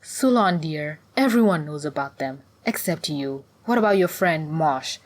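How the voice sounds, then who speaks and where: A woman voicing a cautious, diplomatic middle-aged tribeswoman in a fantasy audiobook, measured and motherly with some exasperation. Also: deep voice, lively intonation. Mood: patient, weary.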